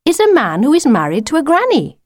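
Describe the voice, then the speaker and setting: A woman's voice: high voice, huge pitch swings, a little fast, no room sound, studio quality, pitched above the performer's own voice. A woman voicing a stubborn, unimpressed schoolgirl in a children's story, with a firm, matter-of-fact manner.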